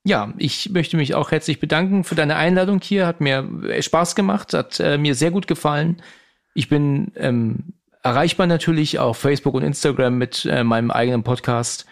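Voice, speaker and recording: A man in his forties, a guest on a podcast, talking in a hesitant way with many pauses and filler sounds. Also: a little high, vocal fry. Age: 40s. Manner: hesitant, many "ähm" pauses, easy laughs. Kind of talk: casual podcast conversation.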